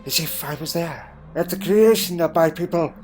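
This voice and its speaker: Male actor as a troubled, proud Time Lord for audio drama; slightly high voice, old-fashioned wording, melancholy and guarded, over faint music. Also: normal speed, a little mellow, close to an everyday voice. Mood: wistful, haunted.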